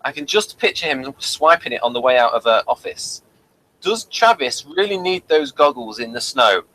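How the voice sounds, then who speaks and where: A man's voice: mid-range voice, very animated, normal speed, pushed and bright, low-quality recording. A man hosting a casual review, partly reading notes aloud with wry, dry humour. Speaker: review show host.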